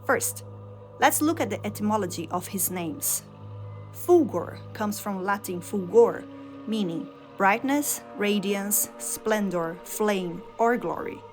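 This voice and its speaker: A woman whose first language is Portuguese tells game lore at a steady, deliberate storytelling pace, laying out each idea in short phrases. Her voice sits at an ordinary middle pitch for a woman. Soft music plays faintly underneath. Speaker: video game lore narrator. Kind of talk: scripted lore narration.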